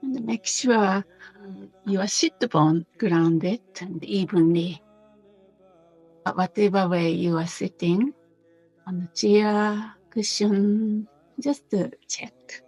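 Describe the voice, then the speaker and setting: A woman teaching a guided meditation in a gentle, unhurried manner, pausing between short phrases. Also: mid-range voice, slightly rough, soft background music, rough recording.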